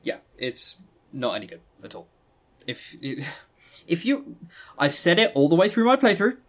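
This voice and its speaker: A man's voice: fairly high pitch, huge pitch swings, measured pace, fairly bright tone. A man co-hosting a podcast in casual review chat, with a blunt, dryly joking manner. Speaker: podcast co-host. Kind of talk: casual review chat about visual novels.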